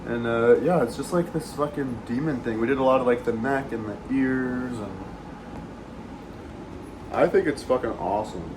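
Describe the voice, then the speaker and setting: A man in a relaxed, rambling flow, dropping casual profanity and filler words as he talks while eating. His voice sits at an ordinary middle pitch. A clear, steady air-conditioning hum runs underneath the whole recording. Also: moderate pace. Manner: laid-back, rambling, swears freely, many fillers. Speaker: vlogger eating on camera.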